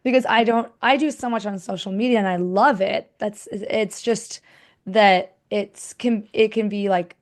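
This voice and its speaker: A woman hosts a relaxed, conversational interview at a medium pitch. She talks informally, thinking aloud as she builds toward her questions. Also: a little slow. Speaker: podcast host interviewing a guest.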